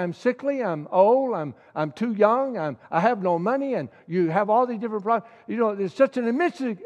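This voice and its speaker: A man's voice: sits high, a little mellow, long reverb tail. A middle-aged man preaching a sermon with passion, repeating key lines and building to emphatic peaks.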